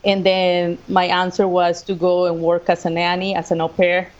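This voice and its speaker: Costa rican lady w/ spanish accent, lowish voice, telling her story in an interview, bit dull audio. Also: monotone, normal speed. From Costa Rica. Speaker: guest, assistant professor of sports management. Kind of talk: conversational personal storytelling in an interview.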